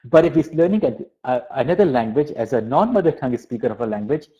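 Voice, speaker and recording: A man who grew up speaking Urdu and English, giving an informal academic webinar lecture as a professor, haltingly, often repeating words and restarting phrases. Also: medium pitch, lively intonation. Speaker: university professor of applied linguistics giving a webinar. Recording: dull, lo-fi webinar audio.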